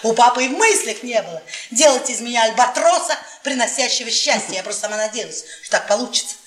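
An actress playing a bitter, domineering middle-aged professor's wife in a radio play, drunk, theatrical and mocking, drawing out key words. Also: female voice, fairly high pitch, lively intonation, quick pace, slight background noise, natural voice. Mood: boastful, wistful. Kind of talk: Soviet radio theatre acting. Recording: echoey 1980s stage-like radio play, distant.

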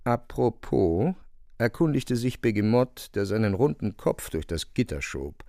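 Deep, creaky male storyteller, very lively. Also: measured pace, no echo, studio quality. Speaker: narrator. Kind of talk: scripted literary narration in a radio drama.

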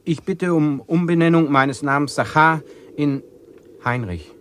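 An actor playing a humble man who asks for a new name, in a radio play, speaking slowly and deliberately. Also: a little high, a little hiss or hum, close to an everyday voice. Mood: earnest. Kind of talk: 1980s radio drama acting.

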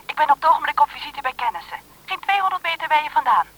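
Breezy fiancée, radio drama: bright, rapid, familiar chatter, heard down a telephone. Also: female voice, high-pitched, lively intonation. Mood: eager, breezy. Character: eager, breezy fiancée phoning her man. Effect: telephone filter. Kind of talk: naturalistic radio play acting. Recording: thin, noisy telephone line in radio play.

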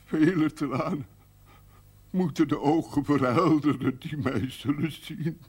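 An actor playing an earnest, contemplative man telling mystical tales in a television drama, husky and measured. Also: on the high side, darkish tone, slight background noise, close to an everyday voice. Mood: solemn, mysterious.

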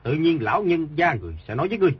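Woman voicing a stubborn loyal male guard, deep, very husky and fast; dull lo-fi audio. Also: sing-song, full character voice. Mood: defiant.

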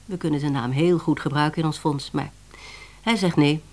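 An actress playing a brisk, businesslike woman publishing editor in a Dutch radio play, questioning and suspicious. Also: low voice, steady tone, darkish tone.

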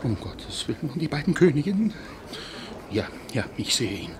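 A male actor plays an exuberant middle-aged man of the theatre, a playwright who muses and enthuses in grand style. His voice sits at a medium pitch and swings widely in melody. The delivery is theatrical German radio drama. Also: normal speed, background noise, natural voice. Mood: nervous. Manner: theatrical, swinging between musing and excitement.

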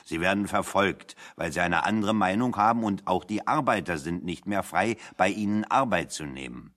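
An actor playing a wry, talkative man in a German radio play, speaking in a deliberate, ironic, anecdotal way. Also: low voice, fairly bright tone.

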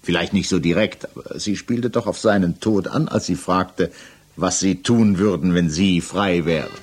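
An actor playing a stern, probing examining magistrate in a 1960s German radio play, questioning in a formal, pressing manner. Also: male voice, low voice, normal speed, darkish tone, breathy edge. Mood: insistent, accusing.

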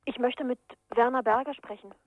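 High-voiced pleading phone caller. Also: female voice, steady tone, brisk, a little hiss or hum. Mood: urgent, pleading. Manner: insistent, questioning. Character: urgent, pleading female caller seeking help. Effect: telephone filter. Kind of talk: German radio crime drama acting. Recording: thin, tinny phone line.

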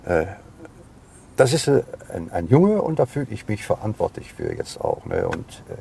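Old guy in his 70s, creaky voice, slow to find words, thoughtful, bit of vehicle noise. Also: deep voice, wildly swooping pitch, mellow. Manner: halting, reflective. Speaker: father being interviewed. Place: moving car.

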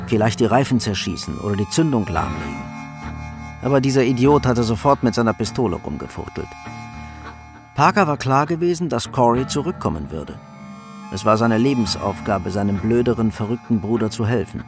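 A man's voice: fairly low pitch, lively intonation, brisk, music in the background. A man narrating a crime radio drama in a sober, matter-of-fact literary storytelling manner.

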